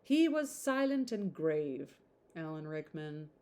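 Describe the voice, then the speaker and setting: A woman reading a classic novel aloud in an informal, expressive way, stumbling over some words and adding chatty asides. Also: sits low, takes it slowly.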